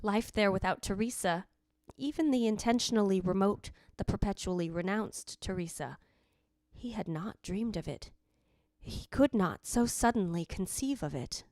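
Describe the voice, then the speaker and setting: A woman plays the ghost of a dead wife, narrating a radio play in a dry, close sound. She is jealous and anguished, with a medium-pitched voice and a deliberate, theatrical, literary delivery. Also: darkish tone, dry recording, natural voice. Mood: wistful. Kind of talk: old-time radio drama narration.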